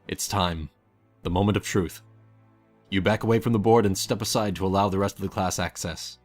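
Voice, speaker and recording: A man with a low voice reads a story as its narrator. He delivers scripted second-person prose, and soft music plays behind him. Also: steady tone, fairly quick. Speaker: fan fiction narrator.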